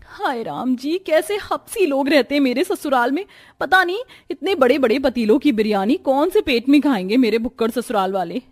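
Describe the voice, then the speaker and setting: A dutiful young daughter-in-law from a cartoon tale, played by a woman. She is polite yet plaintive and exasperated, with a high voice over soft music. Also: close to an everyday voice.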